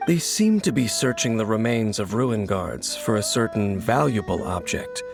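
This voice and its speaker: A grave, gravelly wanderer. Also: male voice, fairly low pitch, animated. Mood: solemn. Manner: measured, cryptic, solemn. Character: mysterious grave male wanderer who hunts the Abyss. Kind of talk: video game voice acting. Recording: game audio with loud music.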